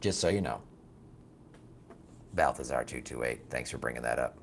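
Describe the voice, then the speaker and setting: A raspy man hosting a political talk show, reading viewer comments and answering them bluntly in a casual, animated way. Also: extremely low pitch, moderate pace, a little hiss or hum. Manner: blunt, animated, mocks opponents with put-on quotes.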